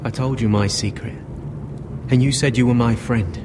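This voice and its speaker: A man's voice: mid-range voice, steady tone, normal speed, background noise, natural voice. Man playing a frank, loyal young watchman. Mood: earnest. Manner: frank, plain-spoken. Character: loyal, plain-spoken young male watchman, would-be deserter. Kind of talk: dramatic video game acting. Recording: music behind the voice.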